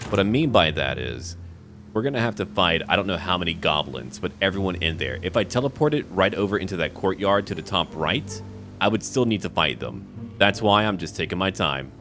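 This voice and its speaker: A man with a deep voice talks through his game, thinking aloud and calling to his party in a chatty, relaxed way. His pitch swings lively, and soft music plays underneath. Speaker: gamer playing a role-playing game. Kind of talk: casual let's-play commentary.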